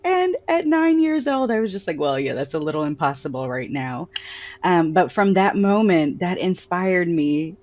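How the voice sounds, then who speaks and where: A woman with an American accent, an author answering interview questions in a lively storytelling way and laughing often. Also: on the low side, moderate pace, lo-fi sound. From Trinidad. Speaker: author and guest.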